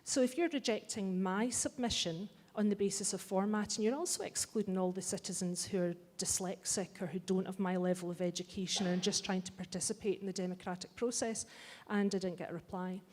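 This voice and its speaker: A woman's voice: mid-range voice, steady tone, a little fast, hardly any pauses, darkish tone. A middle-aged Scottish woman giving a blunt, wry and impassioned speech to a live audience. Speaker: festival speaker. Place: large hall. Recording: long hall reverb.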